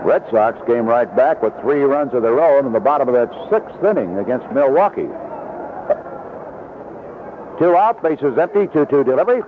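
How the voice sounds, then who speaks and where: Vintage radio baseball caller: man, animated intonation, descriptive clipped calls, clearly noisy background. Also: mid-range voice, fairly quick. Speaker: baseball play-by-play announcer. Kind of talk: live radio sports commentary. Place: broadcast position at an outdoor ballpark. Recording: narrow-band vintage radio broadcast, noisy.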